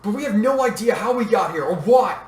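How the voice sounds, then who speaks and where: A man's voice: on the high side, lively intonation, fast talker, close to an everyday voice. A man voicing an earnest, protective young warrior in a story, plain-spoken and determined. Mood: urgent, shouting. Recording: roomy echo, distant mic, faint noise.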